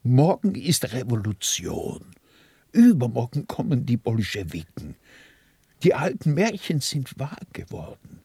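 An actor playing an excitable, cynical wheeler-dealer in a radio play, with a husky, breathy voice. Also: male voice, mid-range voice, unhurried, no room sound, studio quality, full character voice. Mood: cynical, animated. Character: excitable, cynical hotel-bar speculator, worldly wheeler-dealer. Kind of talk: German radio play acting.

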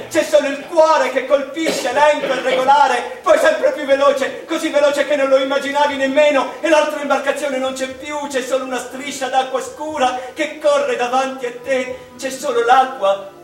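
An actor playing a wistful, anguished male mathematician in a theatrical radio monologue, speaking to his mother with halting, dramatic delivery. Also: extremely high pitch. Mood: intense, urgent. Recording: echoey room, distant microphone, music behind.

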